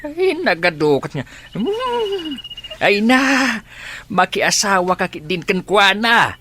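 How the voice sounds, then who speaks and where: A man's voice: sits high, sing-song, takes it slowly, pushed and bright, slight background noise, heavily acted. A man with an Ilocano accent playing a domineering, greedy father in a radio drama, bossy, curt and insistent. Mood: pushy, scolding.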